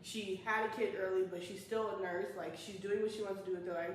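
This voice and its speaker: A woman's voice: medium pitch, fast talker. A woman in her early twenties, co-hosting a podcast in casual chat, laughing and telling stories. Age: 20s. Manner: laughing, animated storytelling. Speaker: podcast co-host. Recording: echoey room, mic at a distance, faint noise.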